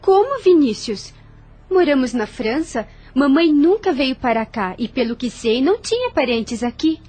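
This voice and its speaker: A woman's voice: high voice, very animated, normal speed, warm tone, breathy edge, full character voice. A woman voicing a young sister in an audio drama, questioning and emotional. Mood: worried. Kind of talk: radio drama acting.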